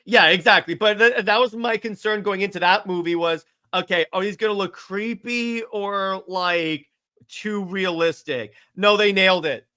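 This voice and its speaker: A man with a high, bright voice co-hosts a relaxed film discussion. His tone is forceful, and he chats casually as he goes through viewer comments. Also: normal speed. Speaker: co-host reading viewer comments. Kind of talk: casual chat.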